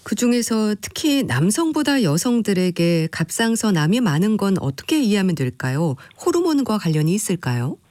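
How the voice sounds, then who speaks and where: A radio interviewer asks short, polite questions on a health program. The pitch moves a lot. The sound is dry and close, with no room in it. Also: big pitch swings, normal speed, hardly any pauses, a little mellow, dry recording.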